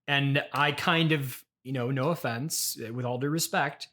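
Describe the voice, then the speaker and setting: A man hosting an improvised comedy podcast interview, halting and apologetic at times, needling at others. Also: medium pitch, fairly even intonation, bright, forceful tone.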